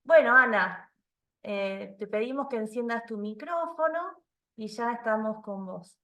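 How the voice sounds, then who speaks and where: A woman's voice: on the high side, a little slow, pushed and bright, roomy sound, far from the mic. A woman hosting an online session and introducing a guest in a friendly way. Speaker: host.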